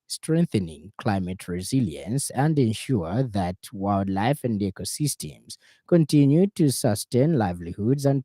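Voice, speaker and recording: A man reading a scripted radio news bulletin in a formal, measured way. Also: lowish voice, warm tone, no echo. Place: radio studio.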